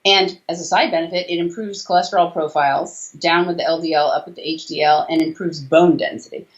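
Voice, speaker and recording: Low-pitched woman explaining, slightly dull sound. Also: fairly even intonation, a little fast. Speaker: doctor and author, interview guest. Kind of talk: conversational interview explanation. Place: small room indoors, video call. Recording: slightly dull video-call audio.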